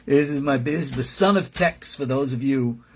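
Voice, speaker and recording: A man speaking as a rabbi in an informal religious lesson, preaching bluntly and emphatically with a gravelly voice and acting out little dialogues. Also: medium pitch, normal speed, slight background noise. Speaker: rabbi and teacher at a Torah school.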